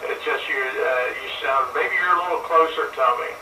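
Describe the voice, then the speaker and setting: A man's voice: medium pitch, a little fast. An older American man chatting casually on CB radio, rambling a little and hesitating between thoughts. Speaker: CB radio operator. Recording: roomy, noisy CB radio transmission, distant.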